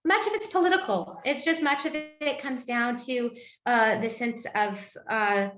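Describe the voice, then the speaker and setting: A woman, a nun being interviewed, giving careful answers full of pauses, fillers and restarts over a video call. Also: on the high side. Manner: hesitant, heavy with fillers and restarts. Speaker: Benedictine nun, interview guest. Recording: roomy, dull lo-fi sound.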